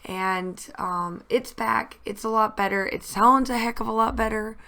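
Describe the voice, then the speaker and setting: A woman's voice: fairly high pitch, fairly even intonation, unhurried, sharp tone. A woman narrating a scrapbooking video in a casual, rambling voice-over with many fillers and restarts, her voice a little groggy after losing it. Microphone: voice-over mic.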